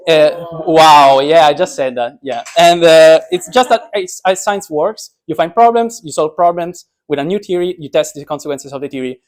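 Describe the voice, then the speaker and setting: Joking young man giving an informal science talk. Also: a little high. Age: young adult. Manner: joking, self-deprecating. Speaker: speaker, physics PhD student.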